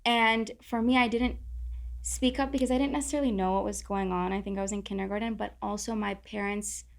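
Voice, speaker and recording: A woman's voice: mid-range voice, fairly even intonation, fairly quick, creaky. A young adult woman from Southern California, chatting casually and with self-deprecating humor as a guest in a roundtable talk. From Orange County, California. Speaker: content creator, podcast guest.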